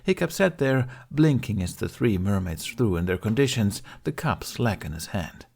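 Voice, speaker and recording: A man's voice: fairly low pitch, very animated, normal speed, creaky, darkish tone, breathy edge. A man narrating a scripted story as an audiobook reader.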